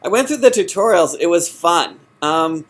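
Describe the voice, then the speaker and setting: A man answering as a job candidate in an informal interview, halting and often correcting himself. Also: sits high, lively intonation. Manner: halting, hesitant, self-correcting. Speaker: job candidate in user experience design.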